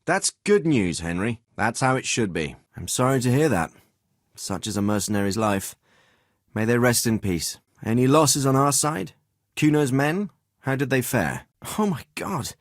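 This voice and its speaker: An actor playing a middle-aged noble lord and commander in a video game, with a measured, formal and paternal manner. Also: male voice, medium pitch, dry recording, clean studio sound, natural voice. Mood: startled, concerned. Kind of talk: acted video game dialogue.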